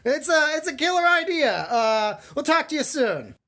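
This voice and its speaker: A woman plays a casual bureaucrat in a comic voicemail. The voice sits a bit high. The delivery is friendly and matter-of-fact, with apologetic hesitation. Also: very animated, normal speed, fairly bright tone, heavily acted.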